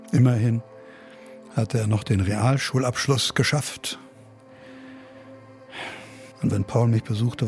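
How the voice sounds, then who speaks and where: An actor playing a weary, detached middle-aged academic father, narrating a radio drama in a measured, reflective, melancholic way. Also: mid-range voice, mellow, close to an everyday voice. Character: weary, detached academic father brooding over his son.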